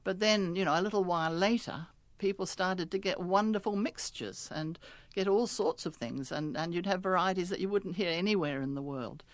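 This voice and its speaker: A woman's voice: lowish voice, moderate pace, dry recording. An older woman with a New Zealand accent, a retired linguistics professor talking chattily in a radio interview, laughing often and restarting words.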